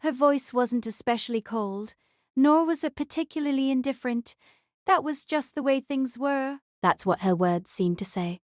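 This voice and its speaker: A woman's voice: on the high side, a little mellow, no echo, clean studio sound. A woman reading scripted third-person novel narration as an audiobook narrator.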